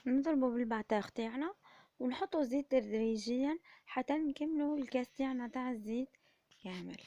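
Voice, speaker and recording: A woman with an Algerian Arabic accent who is slightly hoarse from illness, calmly explaining a recipe as a cooking-channel host. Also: on the high side, lo-fi sound. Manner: soft, subdued, steady explaining. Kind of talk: recipe tutorial voice-over.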